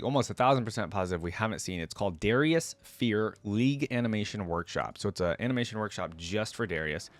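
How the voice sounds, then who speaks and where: A man's voice: fairly low pitch, no room sound. A man hosting a reaction video, commenting casually and musing aloud in informal, slangy speech. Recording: faint music behind the voice.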